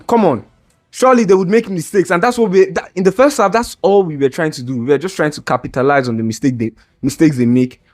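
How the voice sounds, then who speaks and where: A young man ranting in a heated, exasperated way about a football match, stumbling over restarts and straining his voice. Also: sits high. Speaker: football fan vlogger. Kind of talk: ranting reaction video.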